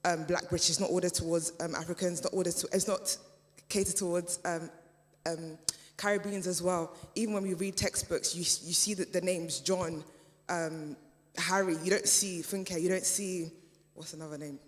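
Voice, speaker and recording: Steady woman panelist in an echoing hall. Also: lowish voice. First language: German. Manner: measured, hesitant, many fillers. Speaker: panel speaker. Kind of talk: panel discussion answer. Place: church hall event. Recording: reverberant church hall.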